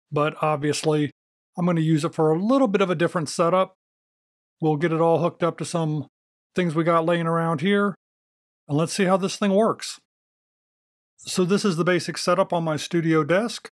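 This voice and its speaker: A man's voice: on the high side, no echo. An American man hosting a video, casually narrating a demonstration on a close microphone. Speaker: video host. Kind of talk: casual narration of a thrift find demo.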